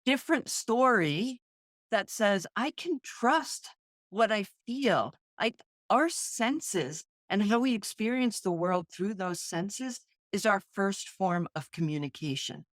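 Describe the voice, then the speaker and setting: A woman's voice: mid-range voice, animated, takes it slowly, no echo, clean studio sound. A woman co-hosting a casual podcast, reflecting earnestly on feelings and senses. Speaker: podcast co-host.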